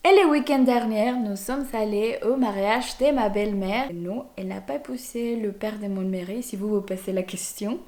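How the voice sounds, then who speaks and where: A woman's voice: medium pitch, normal speed, hardly any pauses, a little mellow. A young Mexican woman, a native Spanish speaker with a Mexican accent, chatting informally to viewers as a vlog host. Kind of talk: casual talk to viewers.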